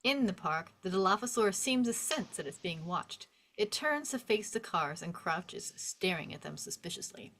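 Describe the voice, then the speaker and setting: A woman narrating the stage directions at a script table read in an expressive storytelling manner. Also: lowish voice, normal speed, hardly any pauses, poor audio. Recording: faint background noise and clicks.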